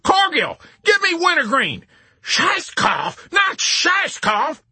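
A man's voice: very high-pitched, wildly swooping pitch, slow pace, sharp tone, no room sound, pitched above the performer's own voice, full character voice. A man voicing a pompous army general in hysterical panic, wailing and screaming frantically in an audiobook. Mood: hysterical horror.

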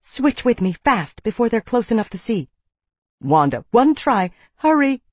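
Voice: high-pitched, big pitch swings, slow pace, no room sound, voice pushed up, heavily acted. A narrator voicing a brave young female heroine with an urgent, pleading, terse way of speaking.

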